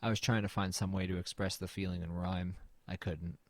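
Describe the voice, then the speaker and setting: A man playing a weary, haunted man with a low voice, recorded very dry. He delivers first-person horror drama narration and dialogue in a glum, understated way. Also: steady tone, fairly quick, no room sound, natural voice. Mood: somber, resigned.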